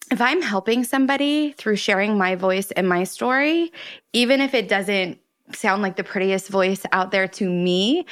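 A woman's voice: mid-range voice, clean tone. Candid chatty woman podcast guest. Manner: chatty, candid, animated storytelling. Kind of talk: conversational interview answers.

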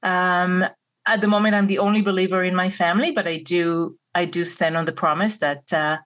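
A middle-aged woman, guest on a podcast. She speaks earnestly in a slightly low voice, often pausing with hesitant fillers. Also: steady tone, fairly bright tone. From Israel. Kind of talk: podcast interview.

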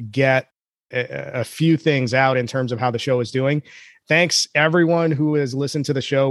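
A man's voice: on the high side, no room sound, studio quality. A man hosting a business podcast in an upbeat, jokey way, calling himself a nerd. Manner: enthusiastic, jokey, self-described nerd. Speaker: podcast host.

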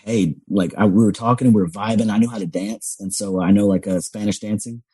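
A man's voice: on the low side, fairly even intonation, dark tone, slightly rough, no room sound. A young man from Texas, a comedian telling rambling stories in a casual podcast chat. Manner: rambling storyteller, quick and loose. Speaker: comedian guest.

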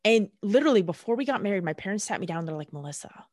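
A woman's voice: medium pitch, lively intonation, very fast, a little mellow, no echo, studio quality. A woman guest speaking candidly in casual conversation, blunt and emphatic, swearing freely. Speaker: podcast guest.

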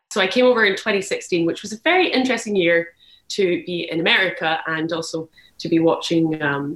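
Scottish woman in an echoey room. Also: lowish voice. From Glasgow, Scotland. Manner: candid, thoughtful, restarts phrases often. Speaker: journalist guest. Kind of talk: interview answers.

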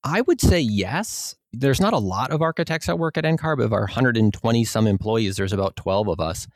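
A man's voice: medium pitch, expressive, moderate pace, no echo. A man with a Minnesota accent, an exam executive explaining his work in an easygoing way as a podcast guest. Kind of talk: podcast interview.

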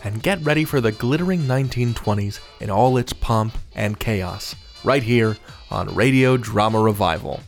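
A man's voice: on the low side, normal speed, vocal fry, soft background music. A man hosting a podcast, reading a scripted introduction in a theatrical, wry and playful way. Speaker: podcast host.

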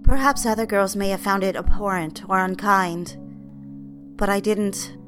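An actress playing a timid, lovestruck secretary in a period audio drama, speaking softly and hesitantly, as if confiding. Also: female voice, medium pitch, unhurried, soft background music, natural voice. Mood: yearning, confessional. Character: timid, lovestruck female 1960s secretary yearning for attention. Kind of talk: period audio drama acting, confessional first-person narration.

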